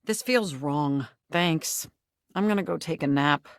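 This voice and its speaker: A woman voicing a sentient home computer in a video game, nerdy, formal and excitable, with stilted, wordy technical phrasing. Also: sits low, a little slow, no room sound, clean studio sound. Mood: weary, subdued. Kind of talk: scripted video game character voice acting.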